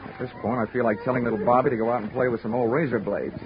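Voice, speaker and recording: An actor playing a wry, sardonic hard-boiled insurance investigator in a 1940s radio detective drama. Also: male voice, mid-range voice, steady tone, rapid-fire, natural voice. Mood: coaxing, exasperated. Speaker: lead actor and narrator. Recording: noisy, muffled 1940s radio recording.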